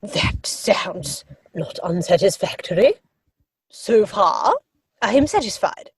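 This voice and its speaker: A woman playing an imperious older aristocratic English aunt in a comic stage-play reading, with pompous, deliberate delivery. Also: mid-range voice, very animated, breathy edge, no echo, full character voice. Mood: haughty, appraising.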